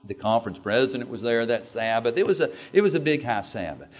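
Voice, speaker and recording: A man with a Southern US accent, a pastor telling a story in a sermon with animated, stammering asides. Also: mid-range voice, fast talker. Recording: dull lo-fi sound.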